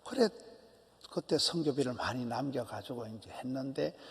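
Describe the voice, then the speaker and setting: Man, 60s, Gyeongsang accent, pastor, animated sermon, short emphatic phrases, middle pitch, long church reverb. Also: moderate pace, creaky. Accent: Gyeongsang Korean. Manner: animated, emphatic preaching with short repeated phrases and drops to a whisper. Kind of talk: early-morning sermon. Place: church sanctuary.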